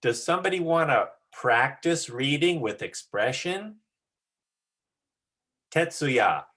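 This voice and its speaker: A drama teacher giving deliberate, emphatic instruction in short phrases during an online rehearsal. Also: medium pitch, very animated, a bit bright. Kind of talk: instructional talk on a video call.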